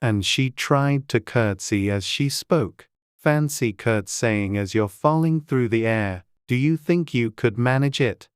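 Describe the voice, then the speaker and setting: An audiobook narrator reading a children's story in a measured, deliberate storytelling way. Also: medium pitch, smooth, no room sound, clean studio sound.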